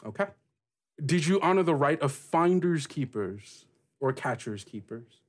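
A man acting as game master, narrating and questioning players in a tabletop role-playing game with deliberate, halting phrasing. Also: on the high side, animated.

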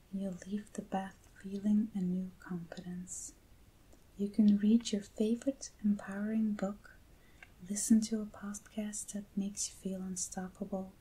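A young woman speaks in a soft, whispery ASMR style, extremely breathy and close to the mic. Her voice is mellow and gentle, and she takes her time with slow, hushed phrasing. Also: medium pitch, rough recording. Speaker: ASMR creator unboxing items. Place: indoors at a table with a backdrop. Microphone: close ASMR microphone.

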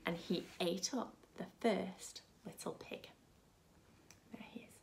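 A woman's voice: on the low side, slow pace, vocal fry, whispered. A woman in her thirties, a primary school teacher, reading expressively to young children. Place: small furnished room at home, indoors.

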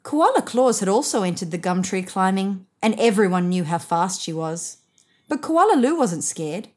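Female storybook reader, thirties, mid-range voice, expressive read-aloud delivery for young children. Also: medium pitch, normal speed. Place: indoors, seated on a sofa.

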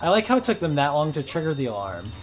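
A man's voice: a little high, very animated, fast talker, a little hiss or hum. Sarcastic young man gaming. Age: 20s. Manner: bossy, sarcastic, swears freely. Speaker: gaming host. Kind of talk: casual gameplay chat.

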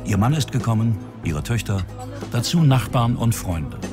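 A man's voice: medium pitch, sing-song, moderate pace, loud music behind the voice. A man with a standard German accent narrating a television documentary in a scripted style.

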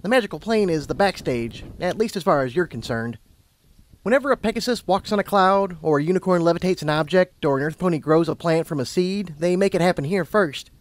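A man's voice: sits high, animated, brisk, pitched above the performer's own voice. A man voicing a confident, kindly female unicorn pony who explains things like a patient mentor. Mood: explaining. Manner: assured, explanatory.

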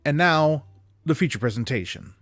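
A man reading classic prose aloud expressively, with measured, dramatic emphasis, close to a desk microphone. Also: on the high side, expressive, a bit bright, no echo. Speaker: reader of holiday stories aloud. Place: small indoor room with bookshelves. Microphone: desk condenser microphone, close. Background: music.

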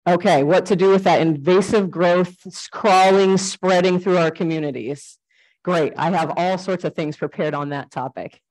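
A woman giving an informal talk on native plants in a library, loud and friendly, asking the audience questions. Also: lowish voice, normal speed, low-quality recording. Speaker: guest speaker on native plants. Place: library program room.

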